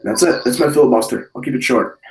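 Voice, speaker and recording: A man with a hoarse voice hosting a casual wrestling review, talking in an animated, rambling way with many fillers and restarts. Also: medium pitch, quick pace, reverberant, distant mic, poor audio. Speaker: wrestling podcast host.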